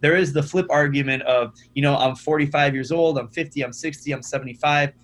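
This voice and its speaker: A young man, a startup founder, talking casually as a podcast guest, energetic and often repeating his first words. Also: a little high, fairly quick. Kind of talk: conversational podcast interview.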